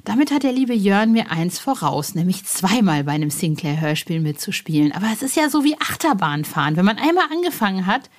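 A woman's voice: medium pitch, very animated, a little fast, hardly any pauses. A woman hosting a fan podcast in an informal, playful way, speaking directly to her listeners. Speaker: podcast host. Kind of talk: chatty fan podcast presenting.

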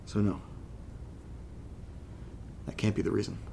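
An actor playing a troubled young man recording a halting, confessional audio diary for a horror drama, his voice slightly congested. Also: warm tone, a little hiss or hum, natural voice. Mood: troubled, searching.

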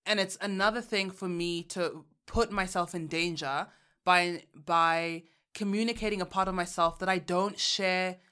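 A woman's voice: lowish voice, steady tone, unhurried, bright, forceful tone, clear, resonant tone. A woman from Uganda, co-hosting a podcast in casual chat, talking candidly and thinking aloud with fillers and restarts. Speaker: podcast co-host.

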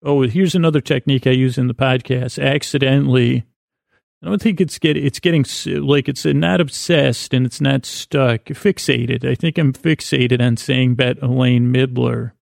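A man hosting a sleep podcast with a meandering, halting, whimsical bedtime monologue in a gravelly, creaky voice. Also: mid-range voice, fairly even intonation, a little mellow, no echo, studio quality. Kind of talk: rambling improvised bedtime monologue.

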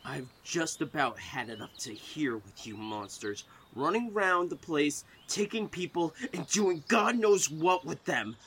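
Angry survivor w a gun, highish creaky voice, threatening, noisy outdoor background. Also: male voice, lively intonation, unhurried, hardly any pauses, fairly bright tone. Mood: menacing, furious. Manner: threatening, accusing, cursing. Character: armed, furious, distrustful male survivor guarding his neighbourhood. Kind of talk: audio horror drama acting.

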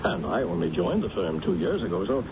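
A man playing a polite, appraising lawyer in an old radio drama, speaking in a formal, measured, courteous way. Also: sing-song. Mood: polite, reassuring. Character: polite, appraising male lawyer at a large firm. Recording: noisy muffled old radio recording, rumble.

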